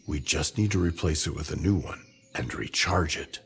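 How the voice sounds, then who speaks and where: An actor playing an earnest, resolute ancient non-human male being in a fantasy game, speaking formally in gravelly measured phrases. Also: a bass voice, fairly bright tone. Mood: earnest, thoughtful. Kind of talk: fantasy game cutscene acting.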